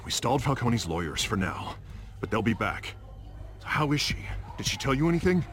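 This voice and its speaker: An actor playing a gruff, harried law official in a dramatic video game. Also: male voice, mid-range voice, big pitch swings, a little fast, a bit bright, a little hiss or hum. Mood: pressing.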